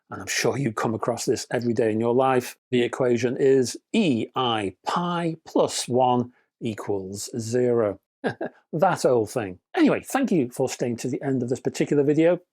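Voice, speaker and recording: A man's voice: medium pitch, darkish tone. A man hosting a hi-fi news roundup, reading product specs in a scripted way with dry, wry asides and chatty sign-offs to his viewers. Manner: dry, wry humor between spec readouts; chatty, addresses viewers as folks. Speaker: hi-fi news channel host.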